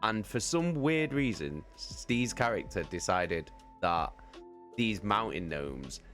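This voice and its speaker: A man's voice: on the low side, very animated, slow pace, a bit bright, soft background music. A man on a live stream animatedly telling a tabletop game story, swearing often and laughing mid-sentence. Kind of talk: casual anecdote on a live stream.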